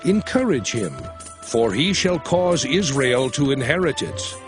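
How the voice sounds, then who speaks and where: Moses, a solemn old prophet, recounts in a very slow, lively-pitched voice. Music plays clearly beneath his narration in this scripture drama. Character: Moses, aged solemn male prophet and leader. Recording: studio voice over music.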